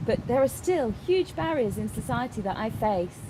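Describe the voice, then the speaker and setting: A woman voicing a signed personal statement as an interpreter, in halting phrases with pauses. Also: sing-song. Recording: clear background noise, ambient rumble.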